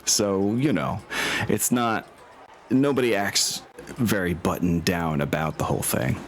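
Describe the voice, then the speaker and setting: A man's voice: low-pitched, a little slow, background noise. A man narrating a tabletop role-playing game as game master, in an informal, improvised way.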